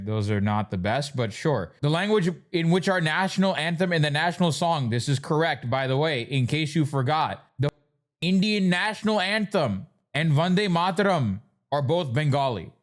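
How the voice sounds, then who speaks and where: A man giving blunt, argumentative political commentary in a casual livestream style, sometimes reading text aloud. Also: a little high. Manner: blunt, argumentative, reads texts aloud and interjects. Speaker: online commentator reacting to news.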